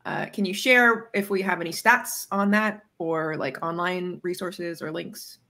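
A woman's voice: lowish voice, steady tone, measured pace, sharp tone. An informal woman moderating a livestream panel over video call, peppering her talk with filler words. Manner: informal, says "like" often. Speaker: host. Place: indoor room, video call.

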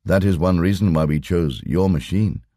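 An imperturbable lama , acted out by a male audiobook reader, speaks with formal patience. His voice is low and measured, recorded dry and close. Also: warm tone, no room sound. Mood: patient, formal.

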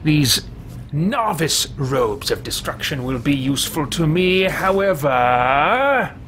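A man's voice: on the high side, big pitch swings, fairly bright tone, a little hiss or hum, exaggerated voice. Man playing a pompous evil sorcerer, wildly swooping delivery. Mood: boastful. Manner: pompous, theatrical, slow deliberate phrasing. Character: haughty, megalomaniac male evil sorcerer, contemptuous and grandiose. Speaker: streamer. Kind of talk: in-character video game roleplay commentary. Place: small home room with shelves, indoors. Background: video game ambience.

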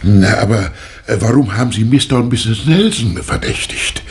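A man's voice: lowish voice, moderate pace, natural voice. A man playing a courteous, inquisitive professor in a 1960 German radio play, hesitant and musing as he talks. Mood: pondering. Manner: hesitant, musing with hems and haws. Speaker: actor in a crime radio play.

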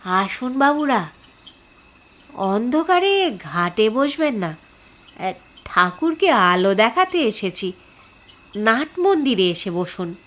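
Woman voicing a hushed, unhurried tantric temple priest. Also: a little high, sing-song, exaggerated voice. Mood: quiet invitation. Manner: soft-spoken, deferential, unhurried. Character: thin, tall male tantric temple priest, courteous and eerie. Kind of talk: audio horror story dialogue.

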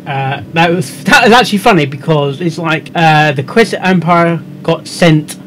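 Higher-voiced young English man, halting talk. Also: a bit bright, a little hiss or hum. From England. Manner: halting, rambling. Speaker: host of a reaction show. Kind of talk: casual reaction commentary. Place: indoor room at a computer. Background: music.

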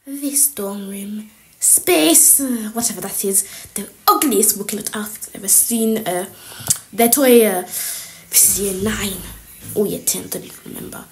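A woman's voice: medium pitch, a little slow, darkish tone, slight background noise, natural voice. A woman voicing a scornful, snooping female schoolteacher in a school story, muttering to herself in disgust.

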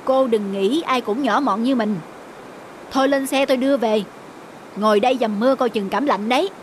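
A man's voice: extremely high pitch, normal speed, slight background noise. A man voicing a mild, decent, plain-spoken young traveller in a cartoon. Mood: kindly. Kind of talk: cartoon dubbing. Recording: music behind the voice.

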